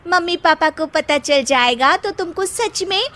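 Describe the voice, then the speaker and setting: Woman as young wife, cartoon, exasperated, nagging, emphatic, very high, sharp tone. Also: lively intonation, unhurried, exaggerated voice. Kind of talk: Hindi cartoon story acting.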